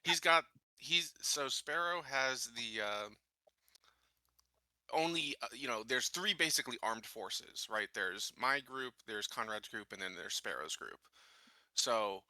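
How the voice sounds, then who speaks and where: A man's voice: medium pitch, lively intonation, a little slow, pushed and bright, no room sound. A man playing a tabletop game, talking casually and arguing his reasoning through. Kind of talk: casual strategy talk during a roleplaying game.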